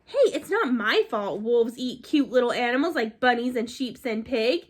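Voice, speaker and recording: Brief: storybook wolf, read by a woman, chatty, defending himself, high and lively. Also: moderate pace. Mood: confiding. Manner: confiding, conversational storytelling. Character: male wolf telling his side, chatty and self-justifying.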